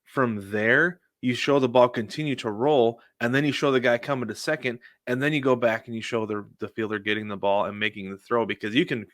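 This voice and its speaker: A man's voice: mid-range voice, steady tone, brisk, hardly any pauses, fairly bright tone, no room sound. A man co-hosting a sports podcast in casual chat, informal and anecdotal, with plenty of conversational filler. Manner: informal, anecdotal, frequent "like" and "you know". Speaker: podcast co-host.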